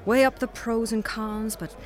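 A woman's voice: fairly high pitch, steady tone, lightly acted. An actress with an Irish accent playing a frank, weary woman on a first date in an audio drama. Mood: wry. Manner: frank, dry, brisk. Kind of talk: naturalistic audio drama dialogue.

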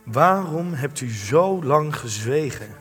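A young actor playing a blunt, relentless interviewer in a radio play, probing and insistent. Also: male voice, on the high side, lively intonation, slow, fairly bright tone. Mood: pressing. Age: young adult. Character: blunt, relentless male interviewer confronting a war veteran. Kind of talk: Dutch-language radio play acting. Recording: long echoing hall, music underneath.

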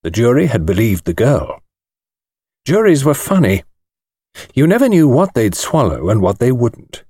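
British male audiobook narrator for mystery fiction, lively intonation, very dry recording. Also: mid-range voice, normal speed, slightly rough, dry recording. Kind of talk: scripted narration of a mystery novel.

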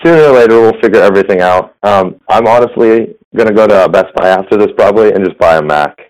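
A slightly hoarse man hosting a casual tech podcast over a phone line, rambling with fillers and restarts. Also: lowish voice, fast, a little mellow. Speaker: podcast host. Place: business center. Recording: phone-quality audio.

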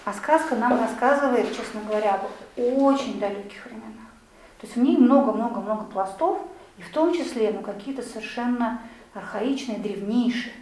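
A woman's voice: fairly high pitch, a little mellow. A woman giving a lecture and answering questions, talking in an explanatory, conversational way with many fillers. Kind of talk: lecture with audience discussion. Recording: echoey room, voice from far off.

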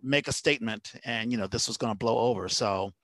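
A man's voice: medium pitch, a little fast, fairly bright tone, no echo. A man hosting a casual interview, talking in a rambling, conversational way. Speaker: podcast host. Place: indoors at home, on a video call.

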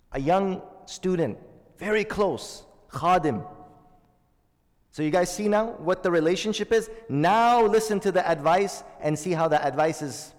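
Male religious teacher with a slightly raised voice, questioning his listeners, recorded in a hall with long reverb. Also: a little high. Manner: explains Arabic terms, asks the audience questions. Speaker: Islamic teacher. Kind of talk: hadith lesson to a congregation.

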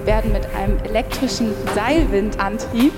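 A woman reciting technical text deliberately, with refrain-like repetition, in an artistic sound-collage style. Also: mid-range voice. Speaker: podcast performer. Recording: loud music under the voice. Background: loud music.

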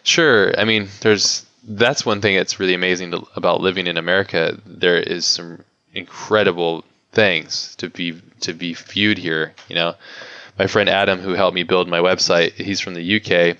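A man's voice: sits low, fairly even intonation, normal speed, pushed and bright, clear, resonant tone, dry recording, muffled recording. A man in his thirties hosting a podcast interview, rambling with frequent restarts and laughs. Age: 30s. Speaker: podcast host. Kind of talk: casual interview chat.

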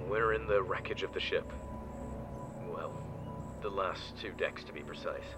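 A man playing a desperate, protective crash survivor in an audio drama, urgent and halting as he pleads. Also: deep voice, over music. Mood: urgent, reassuring. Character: desperate, protective male crash survivor stranded with dying crewmate.

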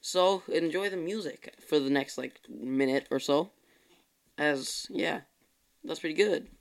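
A man's voice: a little high, a little slow, creaky. A tired young man hosting his own podcast, chatting casually in a rambling way and often correcting himself. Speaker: podcast host. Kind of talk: casual solo podcast chat.

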